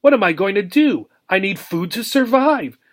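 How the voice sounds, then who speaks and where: Man voicing an excitable high-pitched young girl gerbil. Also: normal speed. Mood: panicked. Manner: gasping, frantic, exclaiming. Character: young female pet gerbil, anxious and excitable.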